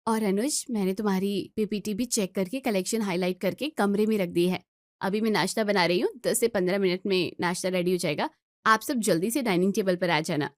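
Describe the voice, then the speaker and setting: A woman's voice: medium pitch, a little fast, dry recording, studio quality, natural voice. A woman voicing a cheerful, dutiful daughter-in-law in her twenties in an audio story, eager, sweet and deferential. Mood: brisk, cheerful.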